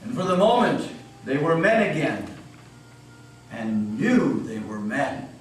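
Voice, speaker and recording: Guy reading a story dramatically, slow n solemn, big echo like a live hall. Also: on the high side, very animated. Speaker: narrator. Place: old meeting house, live performance. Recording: very echoey hall, distant mic, faint noise.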